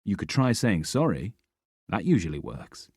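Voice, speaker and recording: Stern, sarcastic dad as a male narrator voices him, lively but dark-toned, close and dry. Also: sits low, normal speed, husky, dry recording, exaggerated voice. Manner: dry, sarcastic, then softening. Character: guarded, blunt middle-aged British father.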